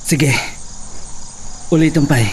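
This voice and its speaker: An actor playing a young gentleman who calmly teaches a woman to shoot a gun. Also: fairly high pitch, takes it slowly, recorded from a distance, background noise. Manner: calm, instructive.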